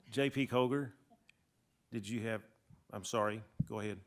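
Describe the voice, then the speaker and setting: A man chairing a county meeting, brisk and politely procedural. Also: on the low side.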